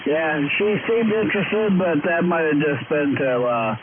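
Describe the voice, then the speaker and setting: A man calling in over amateur radio, chatting casually in a halting, hesitant way. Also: fairly high pitch. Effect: radio transmission. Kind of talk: casual ham radio chat. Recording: narrow tinny radio link, loud static.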